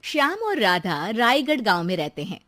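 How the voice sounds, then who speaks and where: A woman's voice: medium pitch, wildly swooping pitch, normal speed, fairly bright tone, no room sound, clean studio sound. A woman narrating a scripted moral story for a cartoon in a storytelling style.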